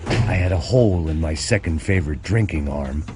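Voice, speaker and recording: An actor playing a middle-aged, washed-up American ex-cop, delivering world-weary, deadpan noir narration and dialogue for a crime game. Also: male voice, extremely low pitch, normal speed. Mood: weary, brooding. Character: jaded, sardonic washed-up ex-cop turned bodyguard. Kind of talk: cinematic noir voice-over and dialogue. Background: loud music.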